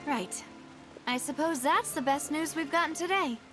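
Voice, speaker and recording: Actress as a resolute young gang leader, high voice, music bed. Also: female voice, normal speed, lightly acted. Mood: firm. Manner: earnest and firm. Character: determined young woman leader, guarded. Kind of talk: video game voice acting. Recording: game audio with music behind.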